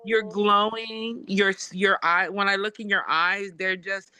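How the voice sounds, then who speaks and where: A woman's voice: mid-range voice, little pitch movement, a little slow, sharp tone, smooth, no room sound, rough recording. A woman from Samoa hosting a casual podcast talk, effusive and spiritual, with lots of fillers. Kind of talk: casual conversation.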